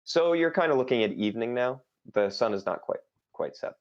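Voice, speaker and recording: A young man narrating as game master in a casual voice chat, relaxed and hesitant, with many fillers. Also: medium pitch, a little fast, muffled recording.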